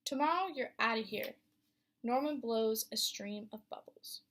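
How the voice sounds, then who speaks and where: Young female student teacher, expressive picture-book reader, slightly high voice. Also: unhurried. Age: young adult. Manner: expressive storytelling with teacher questions. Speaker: student teacher reading aloud. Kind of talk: picture book read-aloud to a class.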